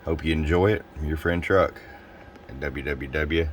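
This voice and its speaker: A man giving a casual product review and demonstration as a seller, explaining things in an informal, unhurried way. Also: rumbling low, slight background noise. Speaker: radio seller presenting a product demo.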